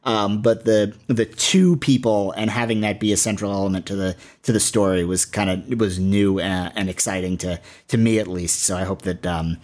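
A man hosting a podcast, presenting and interviewing, somewhat halting when he speaks off the cuff. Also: lowish voice, steady tone, a little mellow. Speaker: podcast host.

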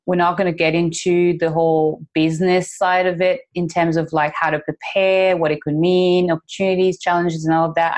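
A woman's voice: fairly low pitch, darkish tone. A woman around her thirties, a non-native English speaker, chatting casually and informally as a podcast co-host. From moved to Australia from a non-English-speaking country. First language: not English. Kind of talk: casual chat.

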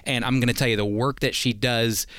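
A man's voice: medium pitch, fairly even intonation, brisk, fairly bright tone, no room sound, studio quality. A man hosting a radio program, talking in a conversational style. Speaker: radio host and teacher.

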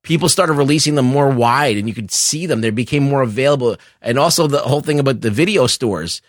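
A middle-aged man co-hosting a casual film discussion, explaining things in a halting, profane way. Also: medium pitch, no echo, studio quality. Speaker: podcast co-host.

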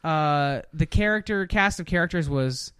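A man's voice: on the high side, lively intonation, normal speed, fairly bright tone, clear, resonant tone, dry recording, studio quality. A man co-hosting a casual chat podcast, speaking bluntly and swearing now and then. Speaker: podcast co-host. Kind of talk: casual chat about movies and games.

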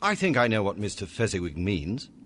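An actor playing an earnest, emotional young clerk in a radio drama. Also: male voice, lowish voice, sing-song, a little fast, a bit bright. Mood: polite, earnest. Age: young adult. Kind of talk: family radio drama acting.

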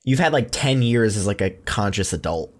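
Blunt male podcast co-host, lower voice, casual cursing. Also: lively intonation, normal speed. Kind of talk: casual chat.

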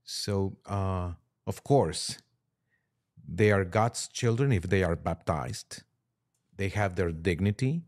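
Low-voiced male priest answering carefully. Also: a little mellow. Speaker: priest, podcast guest. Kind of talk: conversational interview answers.

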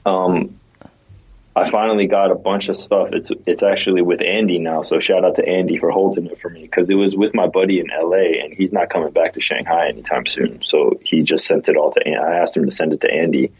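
Low husky man, muffled audio. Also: steady tone, brisk. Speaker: podcast co-host. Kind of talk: casual chat.

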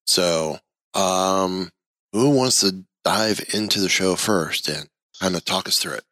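A man hosting a casual podcast chat about a TV episode, leading the discussion in a relaxed way. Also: sits low, takes it slowly, no echo. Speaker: podcast host.

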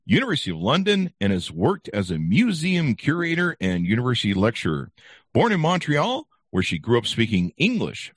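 A man's voice: mid-range voice, husky, dry recording, studio quality. A man hosting a podcast interview in an upbeat, breezy, slangy patter. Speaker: podcast host.

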